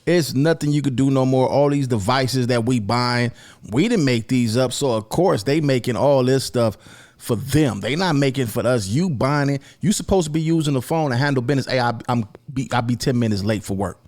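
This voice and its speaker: A man's voice: mid-range voice, moderate pace, a little mellow. A man co-hosting with casual, blunt commentary, swearing and repeating his points for emphasis.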